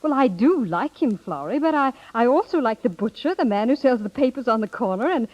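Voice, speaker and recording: An actress playing an innocent, reluctant younger sister, earnest and dryly witty, in a muffled 1930s radio drama. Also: female voice, fairly high pitch, animated, a little fast. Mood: indignant, wry.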